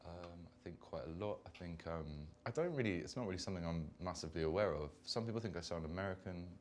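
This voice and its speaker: A man's voice: low-pitched, fast talker, darkish tone, slight background noise. A man raised in London, a band's singer, answering fan questions in rambling, self-correcting talk on a concert stage. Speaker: band singer. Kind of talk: live Q&A chat.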